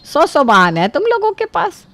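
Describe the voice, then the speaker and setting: A woman playing a middle-aged, self-pitying, sarcastic mother-in-law in an animated story, scolding and complaining dramatically. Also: high-pitched, fairly quick, no echo, full character voice. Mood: aggrieved, sarcastic. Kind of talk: animated story character dialogue.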